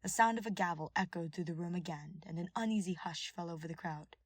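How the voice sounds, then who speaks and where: A woman narrates dramatic fiction in a scripted audiobook style. Her voice is husky and sits a little low, recorded very dry. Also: fast, no room sound.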